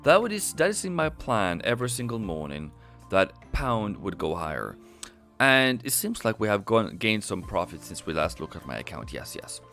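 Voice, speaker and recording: A man's voice: lowish voice, very animated, normal speed, pushed and bright, faint music behind. A man from Norway chatting casually on a live trading stream. He rambles, laughs often and restarts his sentences a lot. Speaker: forex trader on a live stream.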